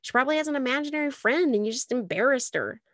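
A woman's voice: sits high, expressive, fast, fairly bright tone, dry recording, clean studio sound, voice pushed up. A woman voicing a teasing, easygoing teenage older brother in an audiobook, speaking in an offhand, mocking manner.

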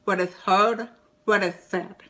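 A woman with a deaf accent presenting a short recorded tip video, speaking slowly and deliberately. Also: mid-range voice, fairly even intonation, bright, forceful tone. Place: home room with shelves.

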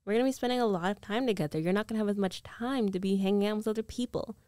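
A woman's voice: medium pitch, racing, mellow, no room sound, clean studio sound, natural voice. A woman playing a rich, possessive ex-girlfriend in an intimate ASMR roleplay, coaxing and self-assured with a condescending edge. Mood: possessive, insistent. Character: rich, domineering, possessive young ex-girlfriend coaxing her lover back. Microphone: close microphone, dry.

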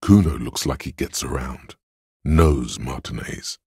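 A man voicing a detective's coolly analytical inner voice of logic in a video game, gravelly and husky, with measured, deliberate delivery. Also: rumbling low, mellow, dry recording, clean studio sound, heavily acted. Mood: deliberate, advising. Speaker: game voice actor. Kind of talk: scripted video game narration.

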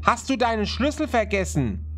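Casting: male narrator as scared little girl in a horror tale, high-pitched, anxious, pleading, over prominent music. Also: very animated, moderate pace. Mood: frightened, pleading. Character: frightened young girl pleading through a locked door. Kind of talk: acted horror storytelling.

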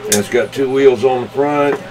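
A man's voice: mid-range voice, normal speed, rough recording. A man chatting casually as a CB radio operator, folksy and slangy in his talk. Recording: CB radio session.

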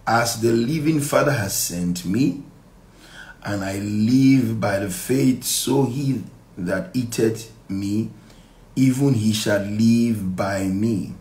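A middle-aged Nigerian man leading a live Bible study as pastor, reading verses and explaining them emphatically and deliberately, with repeated phrases and rhetorical questions. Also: on the low side, a little mellow. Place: small indoor room. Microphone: phone at arm's length. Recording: echoey room, faint background noise.

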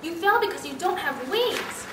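A woman playing an insistent, excitable young woman in a staged radio play, emphatic in delivery. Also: extremely high pitch, moderate pace. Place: live convention stage reading. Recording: very echoey hall, far mic, noisy.

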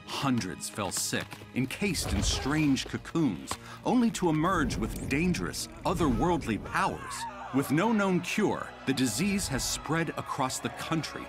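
Dramatic American TV reporter, middle-aged, grave, sweeping intonation, loud music underneath. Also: male voice, medium pitch, very animated, a little slow, hardly any pauses. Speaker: news reporter. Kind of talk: scripted TV news report.

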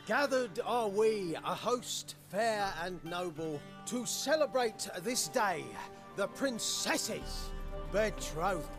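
A man's voice: sits high, lively intonation, slow, a bit bright, soft background music, full character voice. A man playing a scheming villainous page in a stage play, declaiming theatrically in rhymed verse. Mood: grandly announcing.

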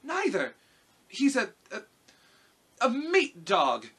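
Man voicing a high-pitched, spirited little girl. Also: huge pitch swings, slow, pushed and bright, husky, exaggerated voice. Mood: firm. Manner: indignant, emphatic. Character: young girl, spirited, anxious and scolding.

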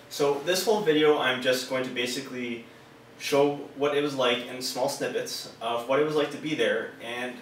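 Man in his thirties, casual vlog talk, echoey room, mic set back, faint hiss. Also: medium pitch. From Canada. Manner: relaxed, explains with hand gestures. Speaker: car audio installer and vlogger. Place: small office with monitors, indoors. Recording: roomy sound from a distant mic.

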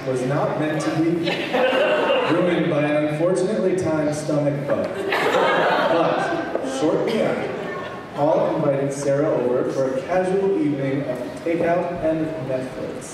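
A man's voice: a little high, a little slow, noisy background. A man in his thirties reading scripted narration live for a puppet show. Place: large event hall at a wedding reception. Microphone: handheld PA microphone, recorded by distant camera. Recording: echoey hall from far off, loud crowd.

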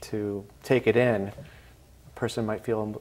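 A man's voice: fairly low pitch, flat delivery, a little slow, darkish tone, a little hiss or hum, low-quality recording. A middle-aged man hosting a talk show, asking questions in a casual, chatty interview style. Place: small TV studio, seated at a table.

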